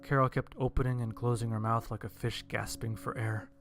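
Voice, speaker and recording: A man's voice: mid-range voice, flat delivery, brisk, music in the background. A man reading a scripted story as its narrator.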